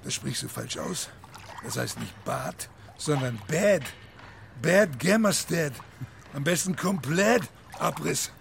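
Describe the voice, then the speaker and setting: An actor playing a scruffy, sardonic local man in a radio drama, jeering in a gravelly voice. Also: fairly high pitch, animated, slow, a little hiss or hum, heavily acted. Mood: mocking.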